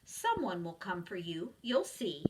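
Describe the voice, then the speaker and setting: A woman's voice: on the low side, big pitch swings, a little slow, distant mic, noisy background. A woman voicing a friendly, kind-hearted male pet shop helper, cheerful and reassuring, in a storybook read-aloud.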